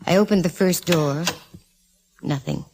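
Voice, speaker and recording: Low-voiced, wisecracking radio private eye. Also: female voice, unhurried, background noise. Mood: wry, storytelling. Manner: breezy, sardonic, hard-boiled. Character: wisecracking, gutsy female private detective. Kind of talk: 1940s radio acting with first-person narration.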